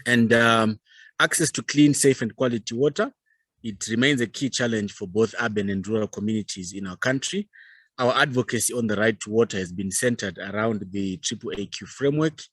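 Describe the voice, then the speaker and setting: A man with a Zimbabwean accent giving a formal presentation as a youth organization leader, speaking in a measured, formal way with pauses. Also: fairly low pitch, fairly bright tone, breathy edge, dry recording.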